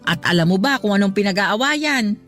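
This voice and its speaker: A chatty middle-aged mother played by an actress in a radio drama, with a medium-pitched voice. She is frank and teasing but caring, over faint background music. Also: expressive, normal speed, a bit bright, lightly acted. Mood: teasing, gossipy. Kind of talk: Tagalog radio drama acting.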